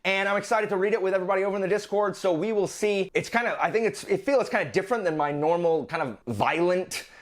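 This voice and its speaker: A man's voice: high-pitched, fast talker, hardly any pauses. A man hosting a vlog, talking casually and informally about his reading list with playfully exasperated asides. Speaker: book vlogger, channel host.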